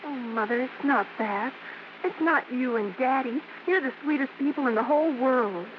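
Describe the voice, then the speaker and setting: Woman playing an anxious schoolgirl, high-voiced, vintage radio. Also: normal speed, lightly acted. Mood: distressed, pleading. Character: anxious, ashamed teenage schoolgirl daughter of stage performers. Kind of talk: 1940s-50s radio drama acting. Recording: dull, lightly hissy vintage radio recording.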